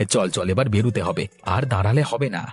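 A man acting as a cartoon father with a lowish voice. He is brisk, practical and doting, speaking over faint background music. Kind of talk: Bengali cartoon story acting.

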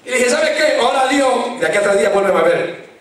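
A middle-aged man preaching a fervent sermon into a handheld mic in a small church, shouting at the peaks and dropping low in between. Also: animated, quick pace, recorded from a distance. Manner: impassioned preaching, shouting at climaxes. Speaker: pastor. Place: small church sanctuary, indoors. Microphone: handheld mic, filmed from far. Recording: very echoey church from afar.

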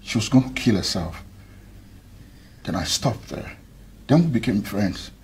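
An actor playing a two-timing young groom who pleads softly and evasively. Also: male voice, on the low side, lively intonation, a little slow, creaky, breathy edge, full character voice. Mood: pleading. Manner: pleading, soft and insistent.

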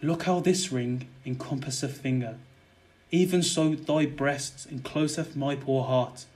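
A man's voice: medium pitch, fairly even intonation, a little slow, darkish tone, natural voice. A young man playing a scheming, murderous nobleman in a verse audio drama, wooing ardently and persuasively. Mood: tender flattery. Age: young adult. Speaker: student actor.

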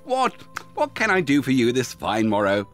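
A man's voice: medium pitch, wildly swooping pitch, normal speed, a bit bright, faint music behind. A man voicing a helpful male beaver in a children's story, humming and hesitating with old-fashioned politeness. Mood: genial pondering. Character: helpful, genial, dithering male beaver chewing wood.

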